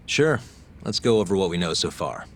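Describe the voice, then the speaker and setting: A deep-voiced, methodical investigator. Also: male voice, normal speed. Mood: probing. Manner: calm, probing, methodical. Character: sharp young male private investigator. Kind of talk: game dub acting. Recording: game scene with music behind.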